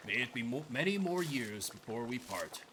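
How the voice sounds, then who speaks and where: A man acts out a kindly former monk in a story game, devoted and gently teasing. His delivery is unhurried yet rises and falls freely, with clear noise behind it. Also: mid-range voice, big pitch swings, lightly acted. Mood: reflective.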